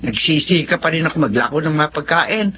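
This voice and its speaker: A woman's voice: deep voice, fairly quick, a bit bright. A woman acting a nagging, long-suffering older mother of grown children in a Filipino audio drama. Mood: weary, complaining. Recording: dull lo-fi audio, faint noise.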